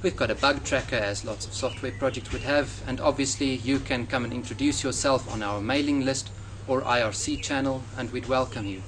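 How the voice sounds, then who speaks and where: A man in his thirties with a South African accent, a native Afrikaans speaker, answering interview questions in a measured, earnest way outdoors in front of a hedge. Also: medium pitch, steady tone, fairly bright tone. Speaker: software developer. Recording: outdoor noise with passing traffic.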